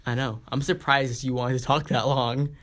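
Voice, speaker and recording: A man from the Boston area hosts a relaxed podcast chat while congested from a cold. He talks in halting bursts, restarting sentences and leaning on fillers. His pitch sits in a plain middle range. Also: a little hiss or hum. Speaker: podcast co-host. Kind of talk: casual chat.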